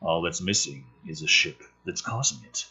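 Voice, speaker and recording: A husky-voiced man reading a script aloud dramatically, with exasperated, sweary commentary as host. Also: low voice, animated, moderate pace, pushed and bright. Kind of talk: animated script read-aloud with profane commentary.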